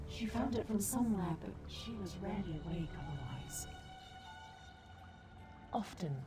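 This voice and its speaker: An actress playing a secretive, tender guardian who narrates a tragic tale in a measured, solemn way. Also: female voice, fairly low pitch, close to an everyday voice. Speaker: story narrator. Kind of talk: scripted dramatic narration.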